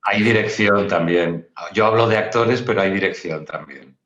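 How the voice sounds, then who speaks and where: A man's voice: low voice, brisk, a bit bright. A Spanish-speaking man, a playwright chatting off the cuff and repeating phrases for emphasis, heard over a computer call. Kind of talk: unscripted conversation. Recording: dull, roomy computer-call sound.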